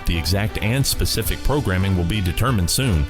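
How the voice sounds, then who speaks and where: A man's voice: deep voice, animated, brisk. A man hosting a radio show, reading scripted links and announcements in a presenter's style. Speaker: host of old-time radio rewind show. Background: guitar music.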